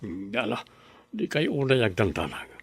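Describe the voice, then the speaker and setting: A man's voice: on the low side, sing-song, measured pace, no echo, full character voice. A man playing a scheming uncle who plots a kidnapping and falsely comforts the family in a radio drama. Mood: falsely soothing. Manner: smooth, sly, falsely soothing. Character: sly uncle secretly plotting a kidnapping. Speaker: uncle, Manong Lito.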